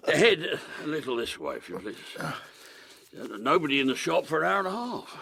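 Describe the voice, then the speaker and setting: An actor playing a shifty, irritable villainous barber in a period audio drama, servile one moment and snappish the next. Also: male voice, fairly high pitch, huge pitch swings, normal speed, vocal fry, husky, heavily acted. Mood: smooth, fawning. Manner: servile to gentlemen, snappish to his apprentice.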